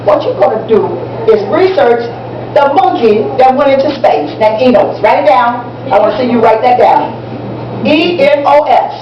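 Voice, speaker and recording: Actress as proud, instructive American schoolteacher for a community stage play; emphatic repetition, muffled sound from far off. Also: female voice, sits high, lively intonation, normal speed. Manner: didactic, repeats and spells out key words. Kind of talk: amateur stage play acting. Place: indoor room at a public library. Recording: distant, echoey, muffled, noisy room recording.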